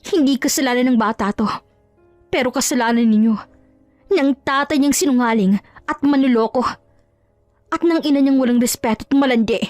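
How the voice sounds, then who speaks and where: Woman playing wronged wife, raised pitch, anguished, accusatory, emphatic, audio drama, close dry recording. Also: a little fast, long pauses, a little mellow, no room sound, faint music behind, lightly acted. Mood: bitter, furious. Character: betrayed wife, furious, anguished, accusing, confronting cheating husband.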